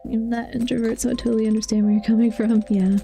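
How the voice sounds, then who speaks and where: A young woman with a mellow, warm voice chats while she plays a video game, confiding and laughing easily. Her voice is a little hoarse, as if she is losing it, and soft music plays faintly behind her. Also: on the high side, little pitch movement, fast. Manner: chatty and confiding, laughs often. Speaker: streamer. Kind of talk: casual chat while playing a game.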